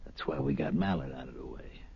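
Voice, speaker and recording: An actor playing a blunt, streetwise racketeer pressing for money in a 1970s radio drama. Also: male voice, very deep voice, brisk, slightly rough, full character voice. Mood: pressing, tough. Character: tough male racketeer demanding his payoff. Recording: dull lo-fi old radio recording.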